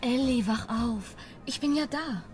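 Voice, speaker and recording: Soothing young child's mother actress, horror radio play. Somewhat high voice, gentle and reassuring. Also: unhurried, close to an everyday voice. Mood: soothing, worried. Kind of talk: radio horror drama acting.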